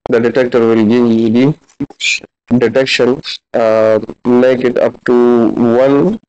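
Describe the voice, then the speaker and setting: Flat, mellow male instructor. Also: mid-range voice, smooth. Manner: halting, instructional. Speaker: physiotherapy instructor. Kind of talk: practical demonstration lecture. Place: large indoor classroom clinic room. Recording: dull, lo-fi camera audio.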